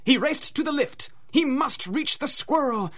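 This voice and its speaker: A woman's voice: high voice, animated, unhurried. A woman narrating a scripted rhymed adventure story, with a dramatic, singsong rhythm.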